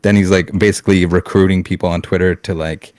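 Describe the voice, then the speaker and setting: Low-voiced blunt man ranting. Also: steady tone, quick pace, a little mellow, no echo, clean studio sound. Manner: blunt, heated ranting with swearing and restarts. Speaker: podcast co-host. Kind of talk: casual podcast chat.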